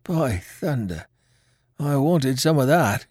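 Storybook pirate, played by a male reader: old, wounded, sly seaman, rough rustic speech, highly animated pitch, dry sound. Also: slow pace, no echo, full character voice. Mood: gruff, relieved. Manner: rustic ungrammatical sailor talk. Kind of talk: storybook character voice in audiobook.

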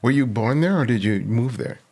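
A man's voice: medium pitch, expressive, normal speed, a little mellow. A man hosting a photography interview podcast, chatty, with restarts when he asks questions. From United States. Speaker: podcast host. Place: home studio, Southern California.

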